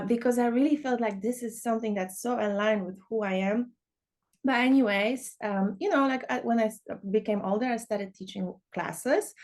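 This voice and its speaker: A woman's voice: fairly high pitch, darkish tone. A young woman from Poland, a podcast guest talking casually about teaching dance. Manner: chatty, many fillers like "you know".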